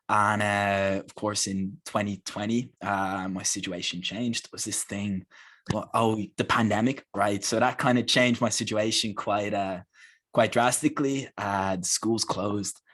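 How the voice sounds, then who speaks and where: A man's voice: fairly low pitch, fairly bright tone. A man speaking as a guest on a webinar over a video call, telling his career story earnestly and with enthusiasm, often repeating words as he restarts.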